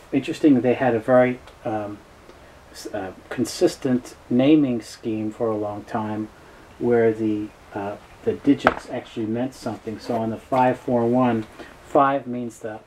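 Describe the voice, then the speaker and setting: A man with a soft-edged, mellow voice at a middle pitch talks through an informal product overview. He is knowledgeable and matter-of-fact, feeling his way haltingly through unscripted explanations. Faint noise sits in the recording. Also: steady tone, rough recording. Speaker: tape dealer presenting a product overview.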